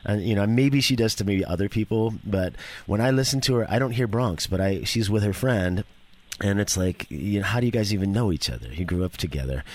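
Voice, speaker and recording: A male interview host from New York with a very deep voice. He talks in a chatty, enthusiastic way and wanders into rambling personal asides before he gets to his questions. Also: brisk. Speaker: radio show host. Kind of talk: casual interview chat.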